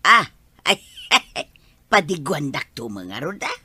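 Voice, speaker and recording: A woman's voice: deep voice, sing-song, slow pace, pushed and bright, slightly rough, exaggerated voice. A woman with an Ilocano accent acting a feisty, scolding village wife in a comedy drama. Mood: casual, teasing. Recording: outdoor noise with roosters and hens.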